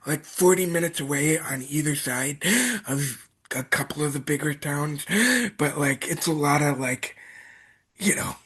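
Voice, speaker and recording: A man's voice: fairly high pitch, fairly even intonation, measured pace, a bit bright, husky. A man from Iowa, a guest on a podcast, who talks in a hesitant way, full of fillers and trailing off. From Iowa, United States. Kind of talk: casual chat.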